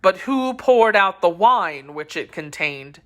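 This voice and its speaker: A woman voicing a somber older male swordsman with a low, faltering murmur. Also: lively intonation, slow, fairly bright tone. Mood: grave.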